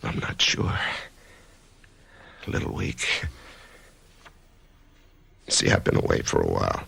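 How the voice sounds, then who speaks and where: A shaken writer in a radio drama, speaking in a very low, gravelly murmur. He talks slowly and stumbles over his words, and his pitch still rises and falls a lot. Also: sing-song, full character voice. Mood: weak, wondering. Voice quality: gravelly, hushed. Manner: halting, stammering when shaken. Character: dazed male writer waking from surgery. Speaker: narrator and lead character.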